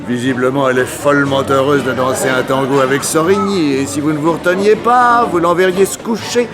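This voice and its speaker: An actor playing an elderly English lord, a courtly, wry and teasing old charmer in a 1960s French radio play. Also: male voice, fairly high pitch, a little fast, close to an everyday voice. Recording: old radio drama with dance music and crowd.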